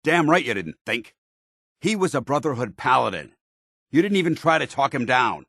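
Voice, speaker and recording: Stern army paladin companion from a game, real deep n raspy, super dry sound, formal n bossy. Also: male voice, sing-song, moderate pace, a bit bright, no echo. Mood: stern, angry. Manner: formal, clipped, authoritative. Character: stern, duty-bound male military paladin companion. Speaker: commanding officer. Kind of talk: scripted video game dialogue.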